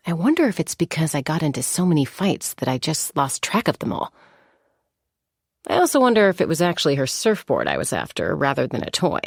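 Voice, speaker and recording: A woman voicing a confident young female gunslinger and peacemaker in a video game, with a cool, measured, self-assured delivery. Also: low-pitched, vocal fry, darkish tone, breathy edge, no echo, clean studio sound, exaggerated voice. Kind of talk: scripted game character voice lines.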